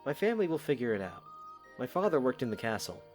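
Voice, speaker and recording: Actor as a lively-pitched, devoted young knight. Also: male voice, quick pace, faint music behind, close to an everyday voice. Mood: soft, considerate. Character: young male knight, devoted, modest, gently teasing. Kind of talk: fan audio drama acting.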